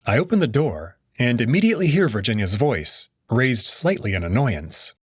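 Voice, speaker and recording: A man hosting a let's play channel, reading a visual novel aloud with wry, expressive delivery. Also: medium pitch, moderate pace, breathy edge, no echo, studio quality.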